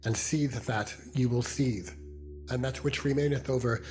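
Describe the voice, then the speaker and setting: A man's voice: medium pitch, steady tone, moderate pace, voice pushed up, lightly acted. A man voicing a stern, commanding prophet in a solemn, emphatic dramatized scripture reading. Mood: solemn, instructing. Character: Moses, stern commanding male prophet leading his people. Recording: loud music under the voice.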